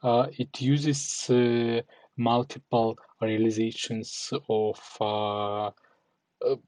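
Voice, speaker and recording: A male developer presenting his project in a halting way with frequent fillers, an English speaker. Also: medium pitch, flat delivery, a little mellow, dry recording, low-quality recording. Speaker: developer and community moderator. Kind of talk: recorded demo presentation.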